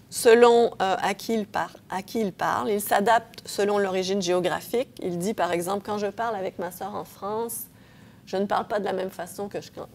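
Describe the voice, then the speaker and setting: A woman's voice: medium pitch, vocal fry, slight background noise. A woman professor giving an academic lecture, explaining patiently with frequent hesitations and checks of her audience. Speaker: linguistics professor.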